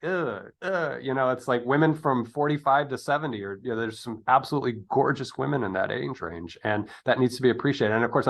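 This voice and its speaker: A man in his fifties talking casually as a podcast interviewer, thinking aloud in a rambling, digressive way. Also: mid-range voice. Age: 50s.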